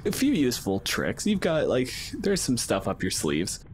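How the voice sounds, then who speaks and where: A man's voice: mid-range voice, huge pitch swings, moderate pace, a little hiss or hum. A man narrating a tabletop game as game master in a casual, improvised, wry and descriptive way. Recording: music underneath. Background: background music.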